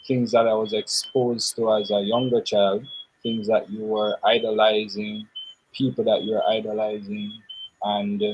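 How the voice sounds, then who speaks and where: A young man, answering interview questions in a thoughtful, measured way with pauses. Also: lowish voice, darkish tone, a little hiss or hum, low-quality recording. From Jamaica. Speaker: interview guest.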